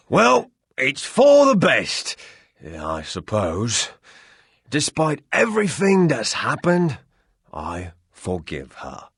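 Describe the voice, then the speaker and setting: A man's voice: fairly low pitch, huge pitch swings, very slow, vocal fry, long pauses, fairly bright tone, slightly rough, no echo, clean studio sound, full character voice. A man voicing a theatrical, cowardly comic sidekick adventurer in a video game, hammy and dramatic. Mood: wistful. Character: jolly, theatrical, cowardly male adventurer playing ship's captain.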